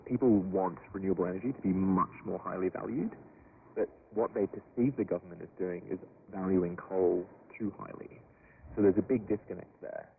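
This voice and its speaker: A man's voice: deep voice, moderate pace, creaky. A man explaining things conversationally in an interview as a company spokesman. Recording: noisy narrowband shortwave radio.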